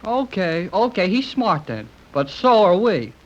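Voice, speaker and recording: A man's voice: sits high, big pitch swings, measured pace. An eager folksy young man. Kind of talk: 1930s radio acting. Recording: muffled old radio recording, faint hiss.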